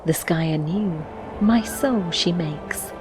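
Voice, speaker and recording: Dreamy female adventurer, very slow pace, lowish pitch, curious, poetic fantasy game narration, clear music behind. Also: low-quality recording. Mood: dreamy, wondering. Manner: slow, measured storybook recitation. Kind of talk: scripted poetic narration in rhyming verse. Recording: music under the voice.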